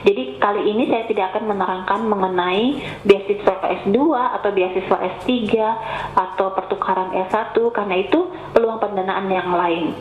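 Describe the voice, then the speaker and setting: A woman's voice: medium pitch, fairly even intonation, normal speed. A woman with a cough presents patiently over an online call, explaining things step by step and addressing her audience politely. Her voice comes through thin and phone-like, with a roomy echo and faint hiss behind it. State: has a cough. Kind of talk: webinar presentation. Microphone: remote call mic. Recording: tinny, echoey online call audio.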